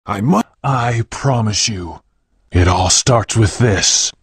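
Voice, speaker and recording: An actor voicing a menacing hedgehog fighter, taunting deliberately in video game battle lines. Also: male voice, on the low side, breathy edge, dry recording, studio quality, exaggerated voice. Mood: taunting, intense.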